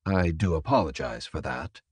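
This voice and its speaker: A man voicing a calm, courteous, businesslike captor in an audiobook, speaking in a polite, measured, formal way. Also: deep voice, creaky, husky, dry recording, exaggerated voice. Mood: measured, explanatory. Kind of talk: audiobook character dialogue.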